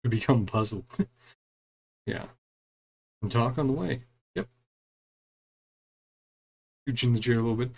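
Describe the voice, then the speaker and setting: Gravelly-voiced man casually playing a game. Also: mid-range voice, unhurried, pauses often, poor audio. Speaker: gaming streamer. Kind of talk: casual game playthrough commentary, reading dialogue aloud.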